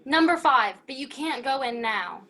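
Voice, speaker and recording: Woman as a high-pitched, official police officer. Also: lively intonation, normal speed, bright, forceful tone, full character voice. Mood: brisk, reassuring. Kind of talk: amateur radio play acting. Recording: echoey room over video call.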